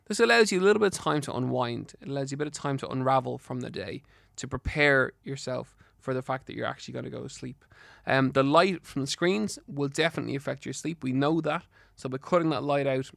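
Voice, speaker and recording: A man's voice: mid-range voice, gravelly, fairly bright tone, no room sound, studio quality. An Irish man with a slight lisp hosting a health podcast solo, giving advice in an earnest, encouraging, instructive way. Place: studio.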